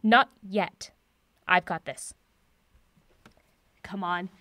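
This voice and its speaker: An actress playing a confident, brisk woman professor with a dry wit in a naturalistic audio drama. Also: on the low side, pushed and bright, no echo, heavily acted. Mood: urgent, focused. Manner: brisk, wry, decisive.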